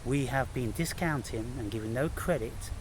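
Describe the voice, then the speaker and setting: A man giving an unscripted spiritual monologue, speaking in halting, emphatic phrases with deliberate pauses. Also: mid-range voice, background noise. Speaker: spiritual vlogger and author.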